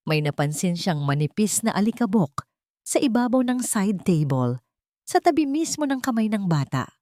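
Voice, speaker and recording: A woman's voice: medium pitch, very animated, moderate pace, warm tone, no room sound, clean studio sound. A woman narrating a scripted dramatic story as an audio drama.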